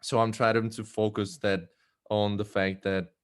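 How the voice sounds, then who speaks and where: A man, a bass singer, answering interview questions in a casual, hesitant way with many fillers and restarts. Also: little pitch movement, a little slow, smooth. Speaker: a cappella bass singer and arranger.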